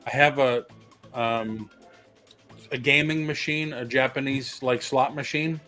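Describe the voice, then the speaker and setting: A man in his early sixties talks easily in a casual chat and spins everyday stories as he goes. His voice sits at an ordinary middle pitch for a man, with faint music in the background. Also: steady tone, unhurried, sharp tone. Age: 60s. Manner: chatty storyteller, asks questions.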